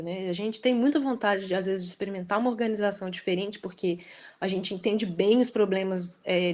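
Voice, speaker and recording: A woman's voice: mid-range voice, darkish tone. Woman guest with a Minas Gerais accent, reflective and hesitant in casual talk. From Belo Horizonte, Brazil. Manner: reflective, hesitant, fills with "assim", laughs often. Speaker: guest author. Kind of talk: casual interview conversation.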